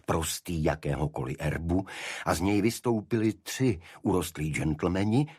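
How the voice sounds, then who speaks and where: A man reading a detective story as a narrator, in a measured, deliberate literary delivery. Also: a bass voice, slightly rough, no echo, studio quality. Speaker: audiobook narrator. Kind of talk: scripted first-person detective story narration.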